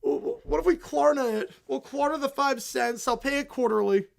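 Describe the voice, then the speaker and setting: A man voicing a group of entitled, whining cartoon kids who complain dramatically and shout. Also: very high voice, normal speed, gravelly, full character voice. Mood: whiny.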